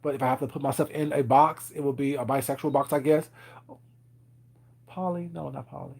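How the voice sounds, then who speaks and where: A man's voice: medium pitch, steady tone, a little fast, gravelly, darkish tone, breathy edge. A man, chatting casually on a live stream, rambling with lots of fillers and laughing often. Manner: rambling, many fillers and "you know what I'm saying"; laughs often, teasing viewers. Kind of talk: casual chat.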